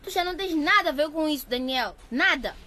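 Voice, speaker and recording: A woman's voice: high voice, lively intonation, a little fast, sharp tone, slight background noise. An actress playing a hurt, defiant schoolgirl in a radio drama, speaking with emotion and reproach. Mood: cold, defiant. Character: hurt, defiant pregnant schoolgirl standing her ground.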